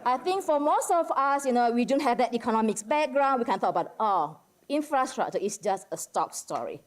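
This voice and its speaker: A woman from Indonesia with an Indonesian accent gives an informal academic presentation to a general audience, halting often and peppering it with casual filler words. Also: a little high, animated. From Medan, Indonesia. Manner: conversational and halting, peppered with "like" and "you know". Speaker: academic discussant at a conference.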